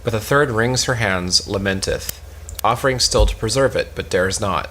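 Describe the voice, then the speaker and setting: Forceful, low-voiced man reading stage directions. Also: normal speed, a little hiss or hum. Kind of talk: scripted narration, cold read.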